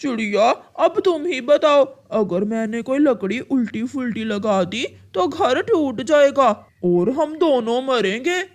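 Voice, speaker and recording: A woman voicing a lazy, sly male crow in a children's cartoon, with a wheedling, drawn-out way of talking. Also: high-pitched, animated. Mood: wheedling excuses.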